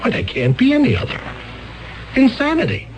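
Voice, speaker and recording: An actor playing a flustered defense attorney who stammers and sounds excitable and incredulous in a 1970s radio drama. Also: male voice, very high-pitched, normal speed, dull audio, faint music behind, full character voice.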